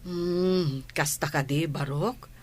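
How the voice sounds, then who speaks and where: A woman playing a caring nanny in a radio drama, doting and gently admonishing. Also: low voice, slow. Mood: caring, fussing. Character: caring, fussing nanny of a young student. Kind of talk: Ilocano radio drama acting.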